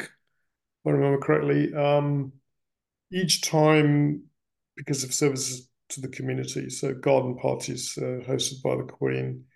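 A middle-aged man telling family history in a reflective, conversational interview style, often pausing and circling back mid-phrase. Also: mid-range voice, creaky, a little mellow. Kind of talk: reflective personal storytelling in casual conversation.

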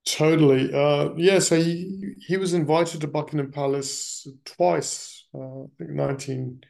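A middle-aged man telling family history in a reflective, conversational interview style, often pausing and circling back mid-phrase. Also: on the high side, fairly even intonation, creaky, darkish tone. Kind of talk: reflective personal storytelling in casual conversation.

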